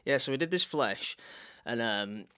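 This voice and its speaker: A man's voice: medium pitch, expressive, unhurried, sharp tone, clean tone. A middle-aged man with a Lancashire accent, telling club stories in a casual podcast interview and often stumbling over words and repeating himself. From Northern England. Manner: rambling, repeats words, drops back into the story with "so anyway".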